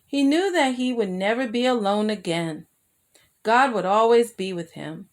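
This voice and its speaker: A woman reading a children's story aloud as a storyteller, in an expressive manner that draws young listeners in. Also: on the high side, a little slow.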